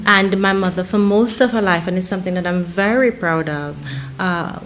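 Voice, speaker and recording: A middle-aged woman with a Trinidadian accent, a college president telling her life story in an interview in a measured, soft-spoken way. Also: on the low side. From Trinidad and Tobago.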